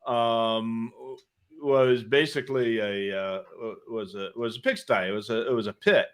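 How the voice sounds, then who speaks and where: A man's voice: medium pitch, measured pace, clean tone. A man co-hosting a casual live hobby stream, conversational and opinionated, explaining things with little asides. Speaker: co-host of a live hobby show about police scanners.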